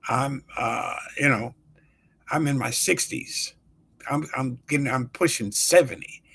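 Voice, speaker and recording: A man in his sixties hosting his own show, talking casually with lots of pauses and fillers and greeting viewers by name. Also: mid-range voice, a bit bright, slightly rough. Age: 60s. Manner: rambling, halting, many fillers. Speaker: show host. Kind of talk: casual live-stream talk.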